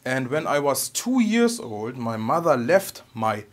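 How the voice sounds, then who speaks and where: Man, 30s, heavy German accent, casual Q&A host, soft and halting delivery, ends sentences with "okay?", mid-pitched voice. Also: sing-song. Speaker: guitar channel host. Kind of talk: casual personal Q&A.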